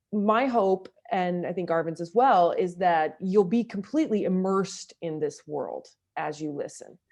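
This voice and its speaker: A woman's voice: low-pitched, darkish tone. A woman speaking as a director, explaining her work in an interview.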